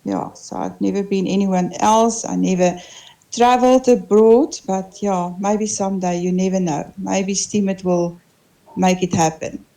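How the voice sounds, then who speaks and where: A middle-aged South African woman whose first language is Afrikaans, co-hosting an internet radio chat and pausing to search for words. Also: medium pitch, steady tone, mellow. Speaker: co-host.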